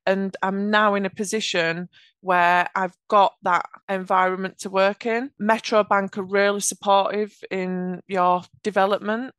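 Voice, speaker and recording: A woman with a Yorkshire accent, a podcast guest giving earnest, measured answers about her work. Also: medium pitch, a bit bright, no room sound, clean studio sound. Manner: earnest, measured explanations with occasional restarts. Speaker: podcast guest, automation architect. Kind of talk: conversational interview answers.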